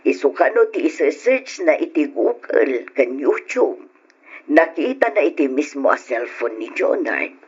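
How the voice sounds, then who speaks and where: A woman's voice: low voice, very animated, measured pace, darkish tone, husky, full character voice. A woman playing a devout, consoling elderly mother in an old-time Ilocano radio drama, speaking earnestly and sorrowfully. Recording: muffled, noisy old radio recording.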